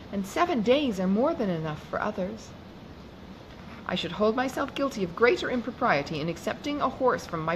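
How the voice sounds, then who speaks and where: A woman's voice: medium pitch, natural voice. A woman reading an audiobook, voicing an impulsive, romantic young woman in a raised, eager voice. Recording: noisy background hiss.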